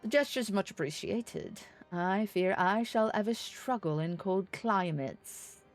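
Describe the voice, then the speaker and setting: A woman voicing an earnest young nobleman diplomat from a fantasy game, with formal, measured, slightly pompous archaic speech. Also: mid-range voice, expressive, faint music behind. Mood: polite, formal.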